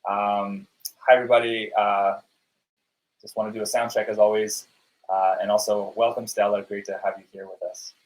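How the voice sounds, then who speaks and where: A fairly low-voiced man hosts a live webinar interview. He talks in an upbeat, loose way, full of fillers and restarts. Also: flat delivery, moderate pace, low-quality recording. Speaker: webinar host.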